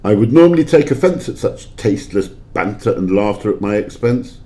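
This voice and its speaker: A man's voice: fairly low pitch, lively intonation, moderate pace, dark tone, lightly acted. A man playing a proud old miser in an amateur audio play, with a formal, pompous and theatrical way of speaking. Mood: stiffly indulgent.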